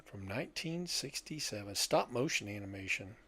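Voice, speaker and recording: A man's voice: lowish voice, animated, normal speed, bright, forceful tone, breathy edge. An older man co-hosting a podcast in casual, conversational chat. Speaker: podcast co-host.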